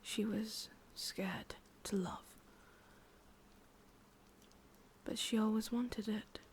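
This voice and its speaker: A woman with an English accent voicing a sorrowful, obsessive witch in an intimate ASMR roleplay, speaking softly in halting, confiding whispers. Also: medium pitch, fairly even intonation. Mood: grieving. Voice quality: soft, breathy, mostly whispered. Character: sorrowful, obsessive female witch, tender yet menacing.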